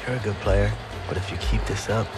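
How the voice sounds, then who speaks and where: An actor playing a stern, disapproving senior figure of a football team in a video game cutscene. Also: male voice, on the low side, steady tone, a little fast, natural voice, poor audio. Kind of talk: scripted game cutscene drama. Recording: loud music behind.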